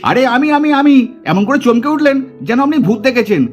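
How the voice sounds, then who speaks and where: A man's voice: extremely high pitch, lively intonation, fast talker, faint music behind. An actor, a man, voicing a teasing, grumbling, boastful young uncle in a puppet cartoon with sarcastic delivery. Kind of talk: exaggerated Bengali puppet cartoon acting.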